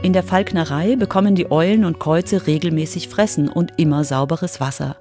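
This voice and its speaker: A woman's voice: on the low side, fairly quick, over music. A woman reporter reading scripted narration for a children's radio feature.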